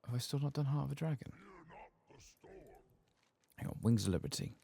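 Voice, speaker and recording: A man giving soft-spoken, sometimes whispered ASMR game commentary, murmuring casually to himself close to the mic and swearing now and then. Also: medium pitch, very animated, a little fast, vocal fry, slightly rough, no room sound. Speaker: ASMR gaming streamer.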